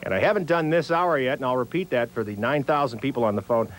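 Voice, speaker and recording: A man's voice: medium pitch, fast, a little hiss or hum, rough recording. A middle-aged American man working as a radio DJ, talking in a casual, wry, easygoing patter. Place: small radio studio. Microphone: large studio mic on a boom arm.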